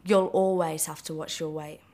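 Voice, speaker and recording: A woman reads from a novel in the first person. Her voice sits a little low, and she delivers the prose as a scripted storytelling reading. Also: little pitch movement, moderate pace, a little mellow. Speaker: reader of a novel extract.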